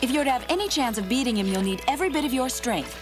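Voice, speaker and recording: A woman voicing a sensible, caring hedgehog wife and mother in a cartoon, chiding in a matter-of-fact, expressive way. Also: high-pitched, very fast. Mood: encouraging, storytelling. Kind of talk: animated cartoon voice acting. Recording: music behind the voice.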